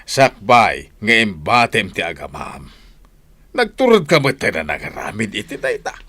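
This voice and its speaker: A man's voice: on the low side, huge pitch swings, unhurried, pushed and bright, full character voice. An actor playing a stern father who lectures his grown daughter reproachfully in a radio drama.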